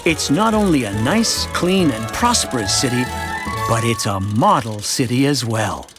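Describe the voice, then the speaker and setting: Cartoon narrator, played by a man: contented male townsman, conversational first-person storytelling, very varied pitch, music underneath. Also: animated, measured pace, lightly acted. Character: contented everyman townsman telling his city's story.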